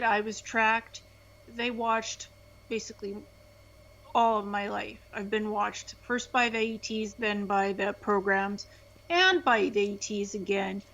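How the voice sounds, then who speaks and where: A woman's voice: on the high side, a bit bright. A woman from Ukraine speaking as a guest in an interview, talking earnestly and restarting her phrases. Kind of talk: conversational interview testimony. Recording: slightly dull, lo-fi sound.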